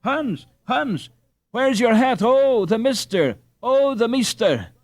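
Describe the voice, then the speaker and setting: A man voicing an excitable, well-meaning Dutch peasant mother in a storybook, breathless and rambling. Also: very high voice, slow pace, no echo, studio quality, pitched above the performer's own voice, heavily acted. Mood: frantic.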